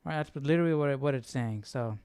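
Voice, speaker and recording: A man's voice: mid-range voice, quick pace, darkish tone, clear, resonant tone, dry recording. A man hosting a casual live study stream, reading aloud and questioning things as he thinks them through. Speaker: host of a Bible study stream.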